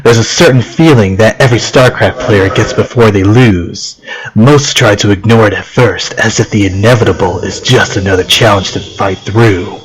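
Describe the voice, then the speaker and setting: A man's voice: on the low side, moderate pace, poor audio. A man from Okinawa doing casual game commentary and reading on-screen text, in a rambling, self-deprecating, giggly manner. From Okinawa, Japan. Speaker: let's play host. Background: game music.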